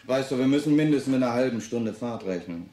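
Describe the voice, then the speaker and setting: An actor as eager husband, echoey room. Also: male voice, medium pitch, lively intonation, fast talker, a crowd in the background, close to an everyday voice. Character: eager, slightly impatient husband at home. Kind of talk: radio play acting. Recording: roomy echo, music behind.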